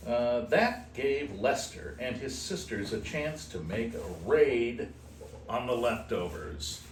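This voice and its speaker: A man reading a children's storybook aloud expressively, in a playful manner with casual asides. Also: medium pitch. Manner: unhurried, animated, playful. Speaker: host reading a picture book aloud to shelter kittens. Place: indoor room at an animal shelter. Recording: roomy, distant mic with faint noise.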